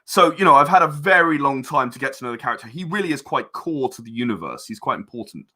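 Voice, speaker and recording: A man's voice: medium pitch, animated, fast talker, bright, forceful tone. A man being interviewed as an audio drama writer and director, talking conversationally in a reflective, self-deprecating and hesitant way.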